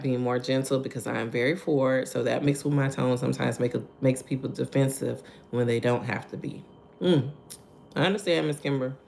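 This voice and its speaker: A soft-spoken woman with a Texan accent, leading a slow, conversational morning devotional and greeting viewers by name. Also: low-pitched, creaky. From Texas. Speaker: devotional host. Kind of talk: live-streamed morning devotional.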